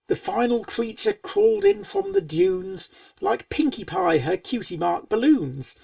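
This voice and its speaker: Heavily creaky, expressive woman storyteller. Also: fairly high pitch, unhurried, no echo, recorded from a distance. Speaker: fan fiction reader and narrator. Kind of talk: scripted storybook narration in rhyming verse.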